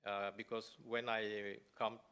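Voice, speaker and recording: A man from Buryatia speaking English as an at a conference, telling his personal story in a halting way with many pauses and fillers. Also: on the low side, flat delivery, bright, forceful tone, smooth. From Buryatia, Russia. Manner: halting, many fillers and restarts, non-native phrasing. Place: parliament conference hall.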